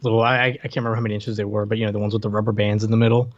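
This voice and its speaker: A man's voice: fairly low pitch, little pitch movement, racing, no echo. A man co-hosting a casual movie podcast, joking around and trailing off mid-sentence. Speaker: podcast co-host. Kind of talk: casual chat about movies. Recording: dry, dull lo-fi mic.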